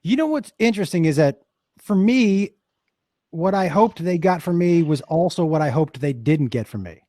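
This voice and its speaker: A man in his fifties from Western Massachusetts hosting a casual chat over a video call, his voice hoarse, often stammering and restarting and laughing easily. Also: fairly high pitch, lively intonation, moderate pace, dark tone, no echo, studio quality. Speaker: host.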